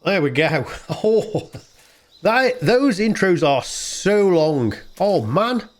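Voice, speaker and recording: A man's voice: sits high, big pitch swings, slow pace. A man hosting a gaming channel, giving casual gameplay commentary in an informal, rambling way. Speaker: gaming YouTuber, host.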